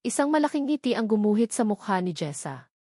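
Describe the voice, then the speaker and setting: A woman's voice: medium pitch, big pitch swings, brisk, warm tone, dry recording, studio quality. A woman with a Filipino accent reading a scripted romantic drama as a storyteller. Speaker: audio drama narrator.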